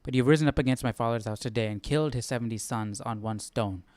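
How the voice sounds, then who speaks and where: A man hosting a Bible study, casually thinking aloud as he reads, haltingly asking himself questions. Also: mid-range voice, darkish tone, dry recording. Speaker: host of a live Bible study.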